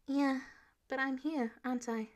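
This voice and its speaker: A woman's voice: sits high, steady tone, unhurried, voice pushed up. A woman voicing an eager, awkward fifteen-year-old boy superhero who rambles earnestly in a fan fiction audiobook. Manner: breathless rambling chatter.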